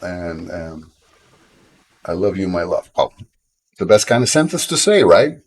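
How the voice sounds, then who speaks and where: Low-voiced Dutch man streaming casually. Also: big pitch swings, measured pace. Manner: rambling, self-deprecating, frequent fillers and restarts. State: coughing now and then. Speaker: game developer streamer. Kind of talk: casual live-stream chat.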